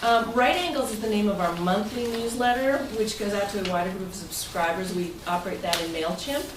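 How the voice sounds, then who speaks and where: A woman's voice: medium pitch, expressive, brisk. Distant, roomy-sounding woman presenting informally. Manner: chatty, rambling, loosely phrased. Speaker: club president. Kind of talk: informal presentation to club members. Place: room at a club meeting. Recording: roomy, noisy recording from far off.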